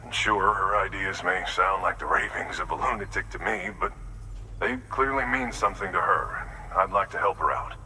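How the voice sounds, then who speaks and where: An actor playing a wry mountaineer in a game, conversational, leaning slowly on key words. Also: male voice, low voice, bright, forceful tone, slight background noise, poor audio. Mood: earnest, musing. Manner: wry, conversational, drawn-out emphasis. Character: gruff, wry mountaineer man asking a favour. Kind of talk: video game dialogue.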